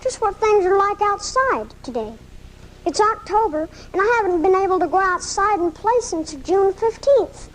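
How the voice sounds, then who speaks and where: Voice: very high voice, animated, normal speed, fairly bright tone, poor audio. An actor voicing a sickly, lonely, eager schoolboy in a 1980s live radio drama, on a home recording taken off the air. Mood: wistful. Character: sickly, lonely, eager schoolboy who adores his dog.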